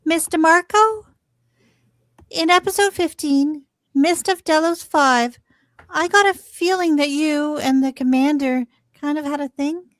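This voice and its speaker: A woman's voice: high voice, a little slow, fairly bright tone, no room sound, voice pushed up. A woman playing a shy, gushing young female sci-fi fan in a live radio-theatre script read. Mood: shy, curious.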